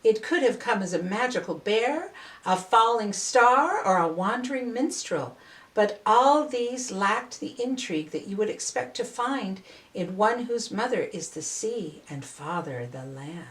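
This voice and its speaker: An older woman reading a storybook aloud as a storyteller, with measured, deliberate phrasing. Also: medium pitch, animated, distant mic. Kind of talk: scripted storybook narration. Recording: roomy, echoing voice recording.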